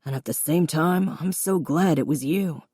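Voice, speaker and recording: A woman voicing a gentle, remorseful older father in an audiobook, quiet and earnest. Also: low voice, moderate pace, husky, no room sound, clean studio sound. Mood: apologetic. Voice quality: reedy, quiet. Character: remorseful, loving father of grown children, older man. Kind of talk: audiobook character dialogue.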